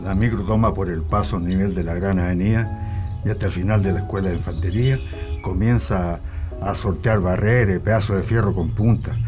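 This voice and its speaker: A man's voice: lowish voice, lightly acted. A man playing a haunted Chilean survivor who recounts an ordeal in a dramatic radio narration.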